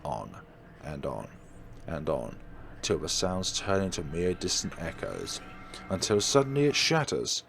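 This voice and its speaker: A man reading a horror story game aloud as a let's play host, in an expressive, theatrical storytelling manner. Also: low-pitched, measured pace, vocal fry, a little hiss or hum. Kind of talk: dramatic scripted narration with asides.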